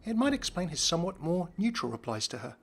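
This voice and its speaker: A man's voice: high voice, sing-song, fast talker, gravelly, breathy edge. A man giving explanatory narration about video game lore. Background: background music.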